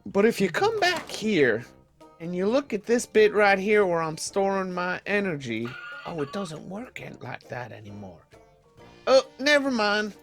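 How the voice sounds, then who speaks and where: A man's voice: high voice, big pitch swings, unhurried, a bit bright, soft background music, full character voice. A man playing a bookish, fussy wasteland hermit in an improvised game roleplay, hesitant and folksy, rambling and repeating himself. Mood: helpful, wary.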